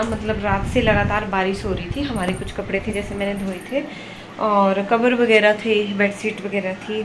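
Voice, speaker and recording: A mother from Himachal Pradesh chats through a home vlog at an easy pace. She talks plainly and sometimes scolds her kids. Household noise hums clearly under her voice. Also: medium pitch. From Himachal Pradesh, India. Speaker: vlogger, mother. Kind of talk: casual home vlog chat. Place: home indoors. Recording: noisy home recording.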